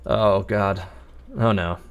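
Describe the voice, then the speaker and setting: A man's voice: lowish voice, fairly even intonation, slow, clear, resonant tone. A man livestreaming a game, musing aloud in a wry, casual way. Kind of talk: casual live gameplay commentary.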